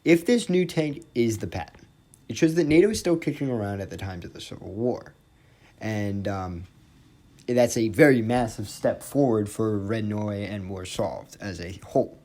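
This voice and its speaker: A man's voice: fairly low pitch, moderate pace, mellow. A young man narrating a game lore theory video, hesitant, with many fillers and restarts. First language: not Norwegian. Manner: hesitant, lots of ums and restarts.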